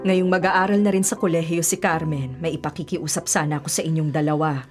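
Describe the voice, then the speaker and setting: A woman's voice: low-pitched, fairly even intonation, fairly quick, over music, close to an everyday voice. A woman acting as a hardworking, self-sacrificing middle-aged widowed mother in a Filipino radio drama, earnest and long-suffering. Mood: pleading.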